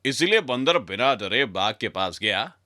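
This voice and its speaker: A man's voice: medium pitch, sing-song, measured pace, pushed and bright, no room sound, clean studio sound. A man narrating a scripted children's story in a dramatic, direct way as a storyteller.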